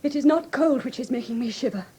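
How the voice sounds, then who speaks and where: A woman with an English received pronunciation accent playing a frightened, grieving young gentlewoman who speaks formally and earnestly in a classic radio drama. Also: high-pitched, fast, breathy edge. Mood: fearful. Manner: formal, earnest, precise storytelling.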